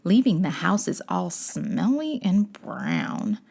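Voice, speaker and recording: A woman's voice: lowish voice, very animated, takes it slowly, creaky, a little mellow, slightly rough. A woman reading a children's storybook aloud as host, with dramatic, playful emphasis. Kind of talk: expressive scripted storybook narration.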